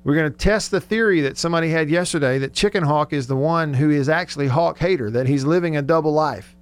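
A man's voice: fairly high pitch, quick pace, clear, resonant tone. A man hosting casual sports talk radio, chatty and joking as he reads out listener texts. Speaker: radio show host. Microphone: close studio radio microphone.

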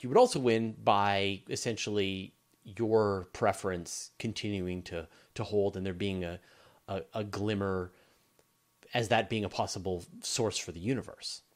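A man hosting a conversational interview, phrasing his points in a halting, tentative way. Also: lowish voice. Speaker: interviewer, host.

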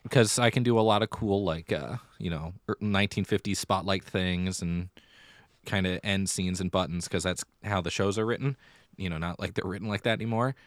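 Low-pitched guy doing chatty theatrical podcast storytelling, really dry close sound. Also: steady tone, a little fast, no echo. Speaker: podcast co-host; middle school theater director. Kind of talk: casual conversational podcast chat.

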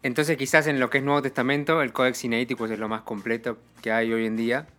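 A man hosts a scripted explainer in a friendly, teacherly way. His voice is bright. Faint music plays underneath. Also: medium pitch, flat delivery, quick pace. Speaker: podcast host.

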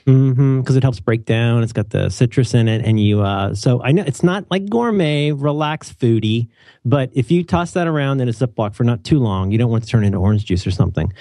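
A man hosting a casual chat, animated and opinionated, joking often and restarting his sentences. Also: mid-range voice, fairly quick, no echo, studio quality. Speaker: podcast host.

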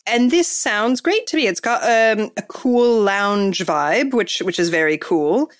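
A woman's voice: medium pitch, lively intonation, measured pace. A woman hosting a podcast, chatting casually and enthusiastically, with lots of fillers and restarts. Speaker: podcast host. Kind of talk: casual chat.

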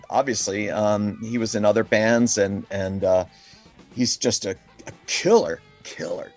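A man's voice: medium pitch, darkish tone. A middle-aged or older man speaking casually and reflectively as an interview guest, rambling with enthusiasm and peppering his talk with "you know" and "like". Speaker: musician being interviewed. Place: calling in remotely to a podcast.

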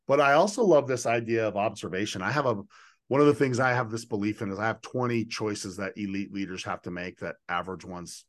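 A man hosting a conversational interview, speaking in an enthusiastic, affirming and emphatic way as he lays out his ideas. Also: lowish voice. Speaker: podcast host and leadership coach.

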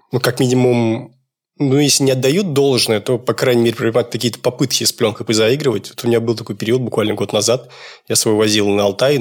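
A man of middle pitch hosting a podcast. His speech is relaxed and conversational, and he asks his guest curious questions. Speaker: podcast host.

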